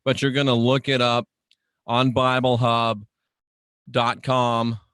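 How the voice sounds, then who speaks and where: A man delivering a dramatic sermon-like radio monologue as host, speaking emphatically and deliberately, repeating phrases and putting showman-like rhetorical questions to listeners. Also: medium pitch, flat delivery, fairly bright tone, clean tone, dry recording.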